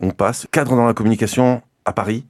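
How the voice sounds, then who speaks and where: A man's voice: mid-range voice, steady tone, brisk. A man hosting a conversational interview, putting questions and short remarks to his guest. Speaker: radio interviewer, host.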